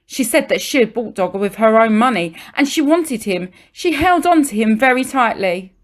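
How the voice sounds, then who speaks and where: A woman reading a children's storybook aloud like a primary teacher, expressively, with deliberate pauses and emphasis for young listeners. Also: fairly high pitch, expressive.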